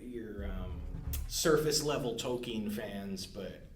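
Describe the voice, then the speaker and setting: A man in a casual podcast discussion, speaking in halting, self-correcting phrases. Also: on the low side. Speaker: podcast co-host. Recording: roomy, echoey indoor sound.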